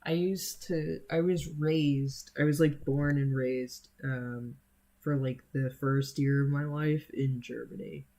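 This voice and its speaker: Slow, gravelly young streamer chatting. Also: mid-range voice, steady tone, mellow. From United States. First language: Spanish. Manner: unhurried and hesitant, many fillers and restarts. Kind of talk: casual stream chat answering viewers.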